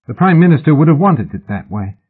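A man voicing an excitable, loyal young sidekick in an audiobook, shrill, shaky and defiant. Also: fairly quick, husky, phone-quality sound. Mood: earnest. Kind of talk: audiobook character acting.

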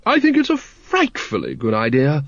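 A man's voice: medium pitch, huge pitch swings, moderate pace, dry recording, highs cut off. A man voicing a hearty, gushing middle-aged aristocratic lady with plummy upper-class manners for an audiobook. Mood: hearty approval.

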